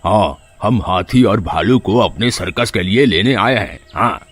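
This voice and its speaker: Casting: man as cruel circus poacher, rough-edged husky voice, threatening cartoon villain. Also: fairly low pitch, lively intonation, unhurried. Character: ruthless adult male circus animal poacher. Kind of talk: dramatic animated story acting.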